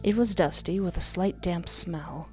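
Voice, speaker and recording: A young woman hosting a game playthrough on a close microphone, reading text aloud and adding animated, jokey asides. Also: lowish voice, a little slow. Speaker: gaming video host. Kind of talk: playful let's play commentary mixed with reading game text aloud. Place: indoor home recording setup. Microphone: close desktop microphone with headset. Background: game music.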